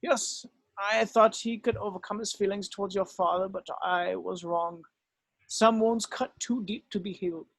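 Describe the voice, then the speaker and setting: A man voicing a weary, gently remorseful old wizard headmaster in a script read-through, speaking in a measured, solemn way. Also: high-pitched, steady tone, gravelly, no room sound, full character voice, rough recording. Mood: grave, patient.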